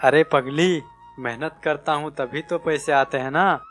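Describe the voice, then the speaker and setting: A man's voice: fairly high pitch, steady tone, measured pace, fairly bright tone, soft background music. Weary, affectionate family man played by an actor. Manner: weary, tender. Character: tired, affectionate, burdened father and husband.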